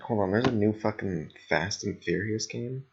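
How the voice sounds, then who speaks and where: Young male podcast host, very low and raspy with vocal fry, blunt and foul-mouthed, chatting loosely. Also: moderate pace, lo-fi sound, a little hiss or hum. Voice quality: slightly raspy, gravelly. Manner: rambling, blunt. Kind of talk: casual chat, heavy swearing.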